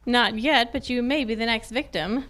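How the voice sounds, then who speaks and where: A woman's voice: a little high, a bit bright, lightly acted. A woman acting a sassy, wry female private detective in an old-time radio detective drama. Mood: teasing. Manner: wry, brisk, teasing. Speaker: amateur radio club performer.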